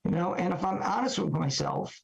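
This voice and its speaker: A hoarse man, a trial lawyer talking reflectively, halting with many fillers and asking himself questions. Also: high-pitched, lively intonation. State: hoarse, recovering voice. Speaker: trial lawyer podcast guest. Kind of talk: reflective casual talk. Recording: dull lo-fi podcast audio.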